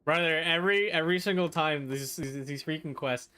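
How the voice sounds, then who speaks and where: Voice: medium pitch, fairly quick, pushed and bright, smooth. A woman livestreamer reacting casually to a game playthrough with informal, exclamatory interjections.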